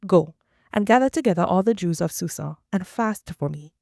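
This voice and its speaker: A woman's voice: on the low side, lively intonation, normal speed, gravelly, darkish tone, no echo, studio quality. A woman reading the lines of a resolute young queen in a scripture reading, in her own voice. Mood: earnest. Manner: earnest quoted speech within a reading.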